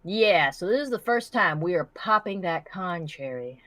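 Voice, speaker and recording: A woman's voice: fairly low pitch, lively intonation, moderate pace, fairly bright tone, dry recording. A woman co-hosting a livestream with casual, playful banter. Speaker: livestream co-host and reader.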